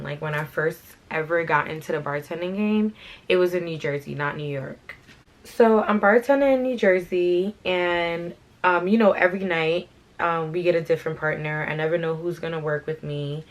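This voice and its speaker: A young woman talks in a casual, slangy story-time ramble, full of "like" and "you know what I'm saying." Her voice sits a little low and carries a light rasp from being sick. She chats while doing her hair. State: slightly raspy from being sick. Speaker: fashion channel host.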